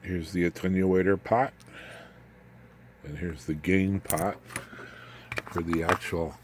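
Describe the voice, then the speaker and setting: An older man giving a casual, unscripted walkthrough as a hobbyist presenter, in a folksy, halting way with wry asides. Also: sits low, steady tone, a little hiss or hum.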